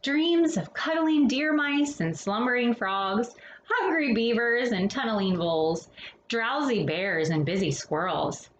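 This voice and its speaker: A woman reading a children's picture book aloud as a storytime host, with expressive phrasing and hushed, drawn-out endings. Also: on the high side. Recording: roomy echo with faint background noise.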